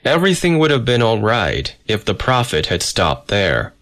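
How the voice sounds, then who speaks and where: Low-voiced man reading a memoir. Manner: measured, steady storytelling. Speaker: narrator. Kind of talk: first-person memoir reading.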